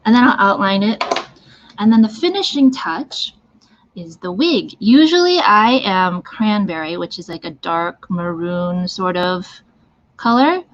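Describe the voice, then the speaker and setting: Female presenter, casual demo style, unhurried delivery, muffled lo-fi stream sound. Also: mid-range voice, big pitch swings. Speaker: mime makeup demonstrator. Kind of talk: casual live tutorial. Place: live online stream from a socially distanced setting. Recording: dull, lo-fi livestream audio.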